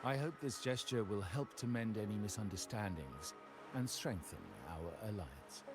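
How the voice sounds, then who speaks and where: An actor playing a formal, self-possessed uncle and heir of a crime family in a video game, with measured, courtly delivery and dramatic pauses. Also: male voice, fairly low pitch, expressive, lightly acted. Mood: courteous, solemn. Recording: background music under the voice.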